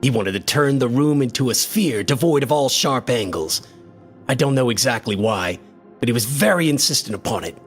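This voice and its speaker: A man's voice: medium pitch, animated, normal speed, lightly acted. A man playing an anxious, loyal friend in a radio horror drama, with earnest, worried, dramatic delivery. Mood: uneasy. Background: faint music.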